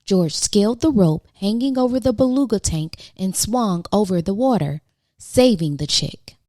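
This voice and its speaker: Expressive, mellow woman reading to children. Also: medium pitch, measured pace, no room sound. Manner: dramatic questions and exclamations for young listeners. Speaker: children's storybook reader, channel host. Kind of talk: expressive read-aloud of a children's picture book.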